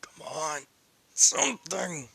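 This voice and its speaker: A brash young fighter with a bright, forceful voice who curses bluntly and pushes his words out under strain. He sounds stubborn and cornered, as a man performs him in a story reading. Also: on the high side, very animated, slow pace, gravelly, slightly rough, no echo, pitched above the performer's own voice, heavily acted. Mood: desperate.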